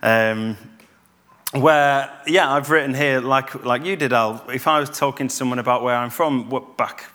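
A man, giving a calm, conversational church talk with fillers and restarts. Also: medium pitch, normal speed. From Yorkshire, England. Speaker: church speaker.

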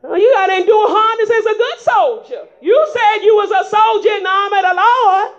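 A woman preaching a sermon in folksy vernacular, rising into shouts and prodding the congregation with repeated calls of "huh?" in a dull lo-fi recording. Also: very high-pitched, brisk. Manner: emphatic call-and-response preaching with frequent "huh?" prompts.

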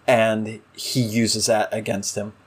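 A man's voice: lowish voice, level tone, slow pace, breathy edge. A man co-hosting a podcast, discussing in a casual analytical way, earnest and emphatic, building his points through repetition. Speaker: podcast co-host.

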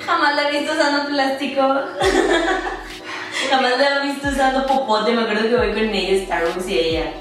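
A woman's voice: high voice, normal speed, a bit bright, recorded from a distance. A young woman from Monterrey with a northern Mexican accent, chatting casually and giggly, mixing in some English. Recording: very echoey room, off mic, music behind.

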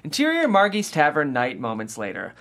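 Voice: medium pitch, wildly swooping pitch, fairly quick, fairly bright tone. A narrator reading scripted stage directions at a comedy table read.

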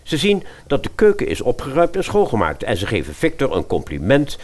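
A man's voice: mid-range voice, huge pitch swings, brisk. A man narrating a radio fairy tale in a dramatic storytelling style.

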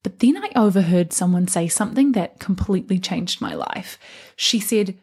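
A woman's voice: fairly low pitch, expressive, moderate pace, a little mellow, husky. A young adult woman hosting a solo podcast, talking as a life coach in an earnest, encouraging and emphatic way. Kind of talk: solo motivational podcast talk.

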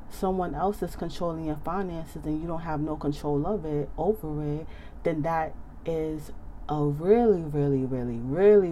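An American woman, slightly hoarse, giving casual motivational advice in an emphatic, conversational way with repeated check-in phrases. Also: sits low, mellow, a little hiss or hum. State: slightly hoarse, clearing throat. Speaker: advice speaker.

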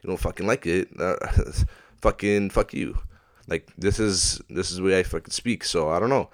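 A very deep-voiced man with a blocked, stuffy nose rants alone into a desk mic. He swears nearly every sentence and rambles from thought to thought in an excitable, casual way. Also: moderate pace. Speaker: podcast host. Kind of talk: casual solo rant. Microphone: desk microphone at a laptop.

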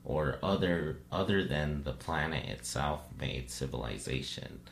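Very deep, gravelly male podcast co-host. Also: animated, measured pace. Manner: musing, tentative, often checking with "right?" and "you know". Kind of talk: casual podcast discussion. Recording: roomy echo, low hum and hiss.

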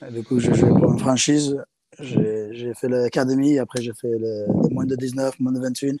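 A man's voice: mid-range voice, steady tone, moderate pace, dark tone, low-quality recording. Hesitant South African man speaking French. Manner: hesitant, many fillers and restarts in second-language French. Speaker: interviewee, rugby player. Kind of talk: casual interview.

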